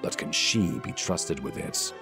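A man's voice: a bass voice, animated, measured pace. A man reading a scripted, dramatic recap as the announcer of an audio series. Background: music.

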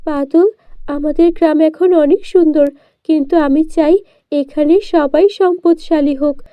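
Woman playing a small cartoon girl, very high voice, earnest, poor but brave, slightly muffled recording. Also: fairly even intonation, a little slow, no room sound. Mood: earnest, hopeful. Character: poor, brave, kind-hearted orphan little girl. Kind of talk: children's cartoon storytelling.

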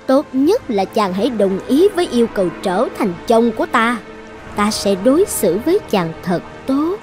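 Possessive, high-voiced young sorceress. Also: female voice, sing-song, normal speed, darkish tone. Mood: threatening. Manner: commanding, self-assured. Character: possessive young island sorceress, coaxing and threatening. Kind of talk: dubbed fairy-tale cartoon acting. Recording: music behind the voice.

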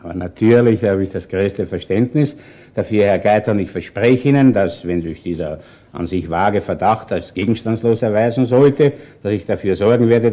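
An actor with an Austrian accent playing a composed, shrewd chief inspector in a radio crime drama, courteous but firm. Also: male voice, on the low side, dark tone, lo-fi sound, natural voice.

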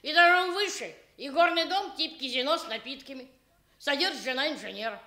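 Brash, high-pitched old busybody woman. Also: lively intonation, a little fast, pushed and bright, full character voice. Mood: conspiratorial, indignant. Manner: colloquial, folksy, boastful. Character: brash gossipy elderly female informer, folksy and combative. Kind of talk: stage comedy acting for radio. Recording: echoey Soviet radio theatre recording.

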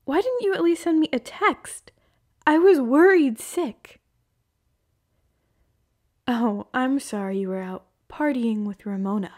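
A young woman playing a hurt, heartbroken girlfriend in an emotional, halting ASMR roleplay monologue. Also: high voice, a little mellow. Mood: hurt, angry.

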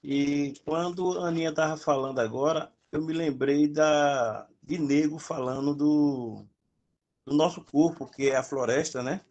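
A man talks on a video call in a calm, reflective way, pausing as he searches for words. His voice sits a little high for a man. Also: dull audio. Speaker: group participant. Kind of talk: casual chat on a video call.

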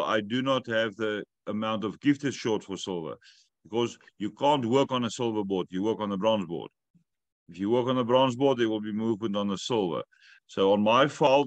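A man's voice: on the low side, no room sound. Talkative man hosting a video call. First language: not English. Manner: talkative, joking, instructive. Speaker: host of a weekly update call. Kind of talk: casual video call chat. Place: room at home.

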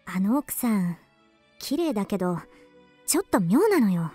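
An actress playing a chatty, gossiping café waitress in a radio drama. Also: female voice, mid-range voice, very animated, normal speed, warm tone, breathy edge, faint music behind. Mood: gossipy.

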